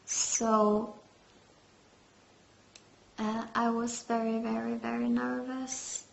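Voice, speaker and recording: Halting woman, slow and gravelly. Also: fairly high pitch, little pitch movement. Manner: hesitant, many fillers. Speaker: metal band vocalist. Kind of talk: interview answers. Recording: roomy, dull lo-fi sound.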